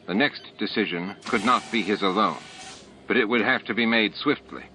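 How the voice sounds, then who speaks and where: A man's voice: on the low side, normal speed, bright, forceful tone. A man reading a science fiction novel aloud as an audiobook narrator, with sound effects added behind him. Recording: dull lo-fi tape with clear background noise. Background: added sound effects and ambience.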